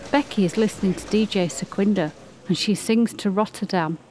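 A woman's voice: mid-range voice, a little fast, crowd noise, low-quality recording. A woman reading scripted storybook-style narration as the narrator of a community radio play. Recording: music playing behind the voice. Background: music.

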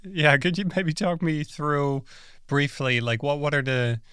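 A man's voice: fairly high pitch, measured pace, a bit bright, smooth, dry recording, studio quality. A man hosting a podcast, introducing his guest and asking questions with stumbling restarts. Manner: stammering restarts when asking. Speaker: podcast host. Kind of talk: interviewing a guest.

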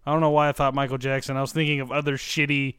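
A man's voice: mid-range voice, little pitch movement, rapid-fire, fairly bright tone, clear, resonant tone, no room sound, clean studio sound. A man co-hosting a podcast, teasing the others with crude jokes in casual chat. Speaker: podcast co-host.

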